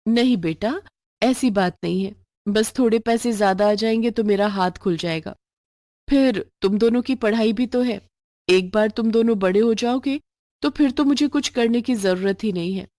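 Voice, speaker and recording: A woman's voice: on the high side, normal speed, a little mellow, no room sound, clean studio sound, lightly acted. A woman voicing a poor housemaid and mother in a moral storybook tale, humble, hesitant and deferential. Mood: reassuring.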